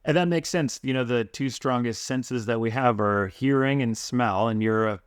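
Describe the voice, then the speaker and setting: A man's voice: mid-range voice, normal speed, clear, resonant tone, no echo, clean studio sound. A man hosting a podcast, asking long conversational questions. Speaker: podcast host. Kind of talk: interview questions.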